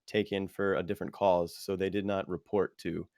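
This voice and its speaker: A man's voice: low voice, little pitch movement, normal speed, darkish tone, dry recording, studio quality. A man in a casual panel chat, thinking aloud in loose, rambling sentences. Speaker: podcast panelist. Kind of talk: casual group discussion.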